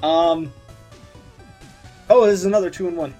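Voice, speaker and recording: A man's voice: on the high side, measured pace, mellow, dull audio, soft background music. A man hosting a casual reaction commentary, giggly and loosely profane in the way he talks. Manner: giggly, often laughing as he talks. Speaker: host of a meme review channel.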